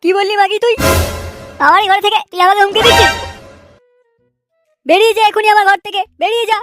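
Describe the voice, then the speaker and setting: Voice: extremely high pitch, fairly even intonation, fairly quick, over loud music. A performer voicing a hot-headed, lazy troublemaker in a Bengali comedy cartoon, crude and blustering. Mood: furious.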